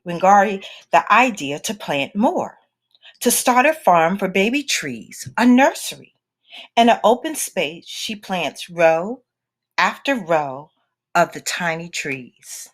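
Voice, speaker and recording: A woman reading a children's picture book aloud in a deliberate, expressive storytelling manner with dramatic pauses. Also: mid-range voice.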